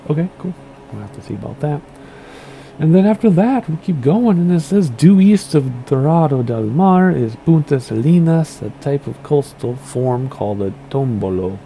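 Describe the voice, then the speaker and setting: A man's voice: mid-range voice, dark tone, a little hiss or hum, rough recording. A man casually commenting on a flight sim game and reading place notes aloud, losing his voice and stumbling over names with a playful, rambling manner. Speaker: gaming streamer.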